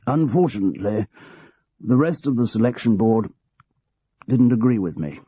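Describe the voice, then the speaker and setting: A querulous old department head, as a male narrator puts him on, testy and full of self-pity. The voice is husky and dark, and it sits on a dull, lo-fi recording with no room sound. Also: on the low side, animated, normal speed, no echo, voice pushed up. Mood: petulant. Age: older.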